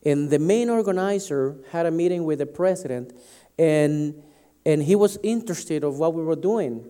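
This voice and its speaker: Man preacher, from Latin America, emphatic sermon, high and mellow voice. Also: animated, normal speed, clean tone. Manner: emphatic, restarts and stumbles over words.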